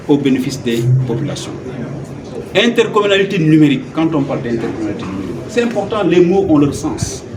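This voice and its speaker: A man with a Senegalese accent giving a formal press statement as an agency director, emphatic and rhetorical, repeating phrases for weight. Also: fairly high pitch. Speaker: director general of a local development agency. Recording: roomy, distant mic, clearly noisy surroundings.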